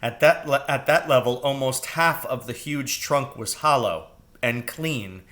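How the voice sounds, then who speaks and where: A man narrating a children's storybook aloud in a deliberate, expressive manner. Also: mid-range voice. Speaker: reader, teacher.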